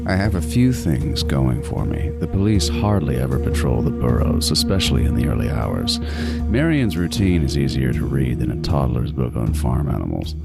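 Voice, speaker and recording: A man playing a meticulous, obsessive serial killer who narrates a horror audio drama in a deliberate, brooding, gloating manner. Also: extremely low pitch. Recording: music bed under the voice.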